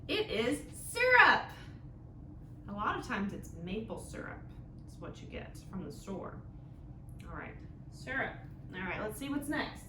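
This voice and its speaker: A woman leads a storytime for small children at a slow pace, with a middle-range pitch. She speaks in simple, instructive phrases and checks in with questions. The room is echoey, with clear noise behind her. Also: wildly swooping pitch. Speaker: children's library storytime host. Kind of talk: interactive storytime for young children, with songs and guessing games.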